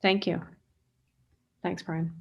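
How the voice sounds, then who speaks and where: Female meeting chair, low-pitched and flat in melody, conversational, halting, on a video call. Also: vocal fry, highs cut off, slight background noise. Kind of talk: informal procedural meeting talk. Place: remote video conference call.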